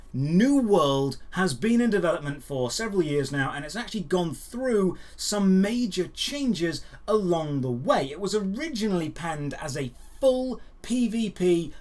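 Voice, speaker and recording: A man hosting a gaming and geek news show, giving dry, opinionated commentary with emphatic phrasing and rhetorical questions. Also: sits high.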